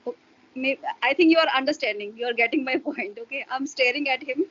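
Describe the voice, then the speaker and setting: A woman's voice: sits high, fairly quick, fairly bright tone, slightly rough, highs cut off. A woman, a Hindi speaker, explaining her views in an interview with a hesitant manner and many fillers. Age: adult parent. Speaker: interview guest. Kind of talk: casual explanatory interview talk.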